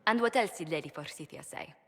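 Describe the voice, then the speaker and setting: Game ambassador: courteous, formal, mildly exasperated diplomat with animated intonation and long room reverb. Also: female voice, low-pitched, creaky, husky, full character voice. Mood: dry, composed. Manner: formal, polite, measured phrasing. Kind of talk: video game character dialogue.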